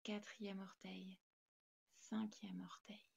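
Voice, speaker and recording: A woman guides a meditation at a slow pace with a gentle, calming delivery. Her pitch sits in an ordinary middle range for a woman. The recording is a little dull and lo-fi, and her instructions come in short, spaced phrases. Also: creaky, breathy edge. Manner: calm, soothing, gentle. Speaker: yoga nidra guide.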